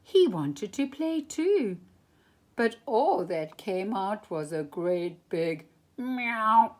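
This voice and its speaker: Woman doing kids storytime, super up and down pitch, takes her time, stretches the big words. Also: very slow. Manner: expressive, emphatic, draws out key words. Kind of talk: animated children's storybook reading.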